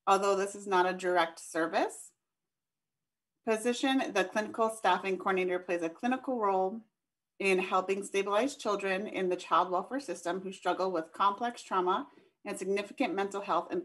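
A woman's voice: mid-range voice, moderate pace. Woman presenting steadily on a video call. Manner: steady, informative, with frequent ums. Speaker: behavioral health coordinator. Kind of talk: presenting over a shared screen.

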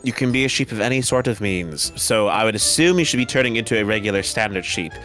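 A man's voice: lowish voice, expressive, fast, fairly bright tone, soft background music. A man playing a formal, wordy magic-school professor in a Minecraft roleplay. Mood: formal, brisk. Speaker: professor character.

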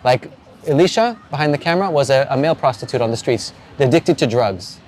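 A man's voice: medium pitch, lively intonation, brisk, a little mellow, slight background noise. A man in his forties interviewing a stranger outdoors by the sea, asking earnest, probing questions with frequent restarts. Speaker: street evangelist interviewer. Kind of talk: street interview about faith. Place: outdoors by the ocean.

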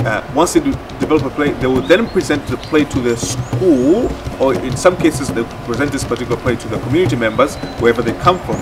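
A man's voice: medium pitch, lively intonation, quick pace, hardly any pauses. A man explaining methodically, as a project facilitator in a documentary interview. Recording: distant mic under background music. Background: music.

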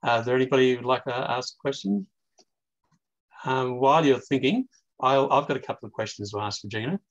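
Halting older man, muffled call audio. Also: mid-range voice, lively intonation. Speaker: session chair. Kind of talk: hesitant academic questioning. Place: indoors on a video call. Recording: dull, lo-fi video call audio.

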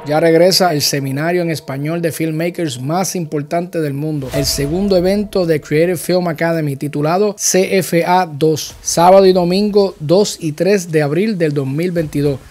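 A man reading a scripted, upbeat event promotion as a loud announcer voice-over. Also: a little high, moderate pace, hardly any pauses. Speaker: course creator.

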